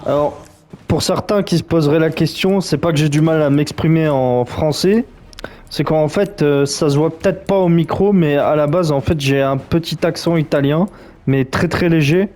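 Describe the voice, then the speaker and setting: A man's voice: on the high side, normal speed, a little mellow. A man commenting on a game as he plays, in a chatty, joking, reactive way. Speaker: gaming streamer. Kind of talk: let's play commentary.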